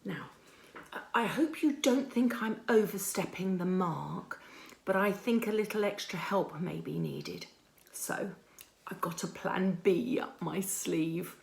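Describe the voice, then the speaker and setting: Woman playing earnest secret agent doing a video diary, slow and hesitant, confiding, echoey room. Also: lowish voice, gravelly. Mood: confiding, determined. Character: earnest, deferential female undercover agent reporting to superior. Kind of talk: dramatic video-diary monologue. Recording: roomy, echoing amateur online recording.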